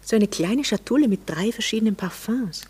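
A woman's voice: medium pitch, lively intonation, brisk, darkish tone, slightly rough. An actress playing a married society lady with a Viennese accent in a radio drama, sarcastic, imperious and playfully reproachful. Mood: sarcastic, mocking. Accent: Austrian, Viennese. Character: sharp-tongued married society lady, coolly mocking yet flirtatious. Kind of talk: German radio drama dialogue.

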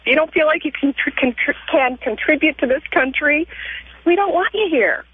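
A woman with a high voice calls in, curt and scornful. Her voice comes through a thin, tinny phone line, dry and close. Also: lively intonation, normal speed, no room sound. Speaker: caller.

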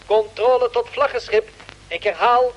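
A woman playing a formal, insistent ground control radio operator in a vintage radio drama, speaking in measured calls. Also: fairly high pitch, close to an everyday voice. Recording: muffled, noisy vintage radio transmission.

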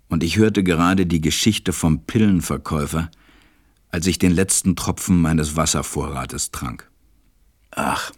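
Very deep, husky pilot narrator. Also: male voice. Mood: weary, resigned. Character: stranded pilot narrator, grave, weary man. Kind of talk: scripted radio play narration.

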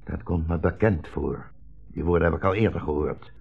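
A dignified old rabbi in a 1960s radio play. His voice is very low and his phrasing measured and formal, with protective earnestness. The sound is narrow and dull, like an old broadcast. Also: vocal fry, breathy edge, faint music behind, full character voice. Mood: indignant, pleading. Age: older.

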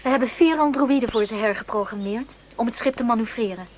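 An actress playing a brisk female space explorer reporting on her mission in a radio play. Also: fairly high pitch, lo-fi sound, natural voice. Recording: radio drama with faint noise.

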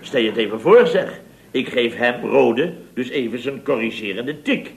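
A man's voice: mid-range voice, expressive, normal speed, vocal fry. A man acting a blustering, indignant builder boss who tells tall stories theatrically in an old Dutch radio comedy. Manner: theatrical, exclamatory storytelling with repeated tag words.

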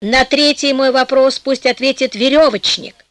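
A woman voicing a clever male soldier who questions villagers in a brisk, commanding, matter-of-fact way. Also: sits high, a bit bright, no echo. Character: bold, clever male soldier, weary and easygoing.

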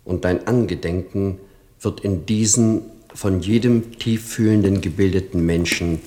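A man's voice: deep voice, measured pace, dark tone. A male actor in a German radio play voicing a dutiful stepbrother reading his letter aloud in a stilted, ceremonious manner. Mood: formal devotion.

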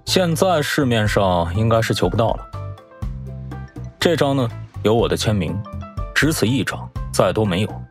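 A man's voice: lowish voice, sing-song, normal speed, soft background music. An actor playing a brash, sarcastic young male star who coaches a junior, in a mocking, exclamatory way in an audio drama. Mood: commanding. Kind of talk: audio drama acting with background music.

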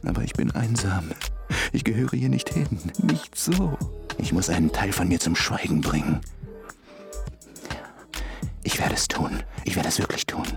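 A male actor playing a wistful, lonely half-ape man in a brooding, halting radio drama monologue. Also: deep voice, vocal fry. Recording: music playing behind the voice.

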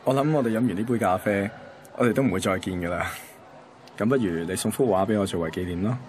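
A man's voice: on the low side, fast talker, darkish tone, slight background noise, natural voice. An actor playing an easygoing, reflective young man from a radio drama, with a Hong Kong accent. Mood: easygoing, coaxing. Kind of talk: radio drama dialogue and narration.

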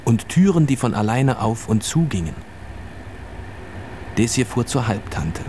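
Mid-pitched male storyteller over vehicle noise. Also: big pitch swings, a little slow. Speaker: narrator of a children's radio play. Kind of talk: scripted storytelling narration. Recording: clear traffic and vehicle noise behind. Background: vehicle and outdoor ambience.

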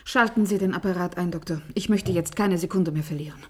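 A woman's voice: lowish voice, quick pace, husky. An actress in a German radio play as a commanding, visionary woman leading an experiment, speaking with urgent intensity and faltering when unsettled. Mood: commanding, impassioned.

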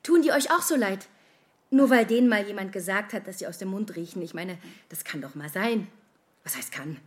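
Anxious, self-doubting actress as a woman, medium pitch. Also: expressive, brisk, lightly acted. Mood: uneasy, insistent. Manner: insecure, rambling, questioning and self-interrupting. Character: anxious, self-conscious woman fretting over how she smells. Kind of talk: modern radio play acting, conversational monologue.

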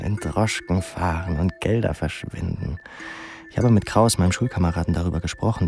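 Low, gravelly young dreamer. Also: male voice, darkish tone. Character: young male pupil, musing, self-mocking dreamer. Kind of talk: intimate first-person radio drama monologue.

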